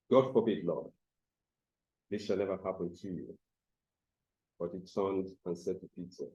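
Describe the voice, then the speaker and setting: Deep, husky man reading scripture. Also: warm tone. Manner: formal, deliberate reading in short phrases. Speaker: scripture reader. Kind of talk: Bible reading. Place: church service. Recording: dull, slightly muffled sound.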